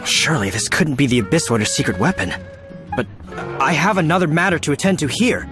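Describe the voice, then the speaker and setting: An actor playing a nervous, earnest knight in a video game, stammering yet formal and polite, with music behind him. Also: male voice, on the high side, lively intonation, a little fast, close to an everyday voice. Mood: flustered. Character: flustered, well-mannered male knight, nervous and earnest. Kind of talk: video game character acting.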